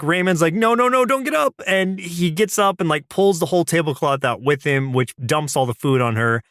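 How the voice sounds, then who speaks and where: A man's voice: on the high side, fairly bright tone, no echo, studio quality. A man co-hosting a podcast, recapping in casual, rambling chat and acting out bits of dialogue. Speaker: podcast co-host.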